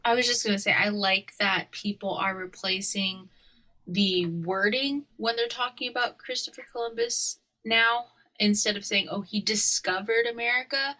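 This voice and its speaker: A woman's voice: medium pitch, moderate pace, bright, forceful tone, poor audio. A woman from Hawaii chatting casually as a podcast co-host, opinionated and slangy. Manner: opinionated, slangy, often laughing. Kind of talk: casual conversational chat.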